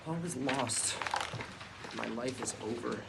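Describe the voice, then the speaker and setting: A man's voice: medium pitch, takes it slowly, vocal fry. A man playing a vain middle-aged womanizer, melodramatically lamenting his lost youth at a comedy script read. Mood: self-pitying, melodramatic. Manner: melodramatic, theatrical. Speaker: actor at a sitcom table read. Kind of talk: comedic script read aloud before an audience. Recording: noisy live room with audience.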